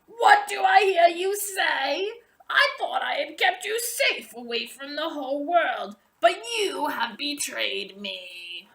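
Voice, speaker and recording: Woman voicing a shrieking wicked sorceress, forceful and bright. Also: high voice, sing-song, gravelly, voice pushed up, full character voice. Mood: outraged. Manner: shrieking, drawn-out accusing delivery. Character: furious, shrieking wicked sorceress, betrayed and cruel. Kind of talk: storybook read-aloud character voice.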